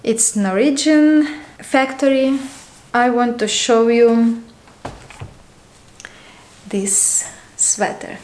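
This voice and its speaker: Slow-talking woman with a Ukrainian accent. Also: a little high, darkish tone, a little hiss or hum. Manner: slow, unhurried, with frequent ums and pauses. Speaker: podcast host. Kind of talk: casual knitting podcast chat. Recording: echoey room.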